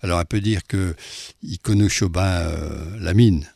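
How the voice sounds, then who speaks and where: A man giving an informal talk to an audience, halting and chatty, often tagging his phrases with "hein". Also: deep voice, gravelly, darkish tone, dry recording, clean studio sound. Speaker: speaker presenting a dialect poet.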